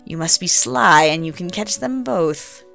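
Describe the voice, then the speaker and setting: A woman gives voice to a sly male wolf from a fairy tale, pitched lower than her own voice. He coaxes and wheedles in a lively, drawn-out way. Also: vocal fry, soft background music, full character voice. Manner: coaxing and sly, slow and looming at the end. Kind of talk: storybook reading.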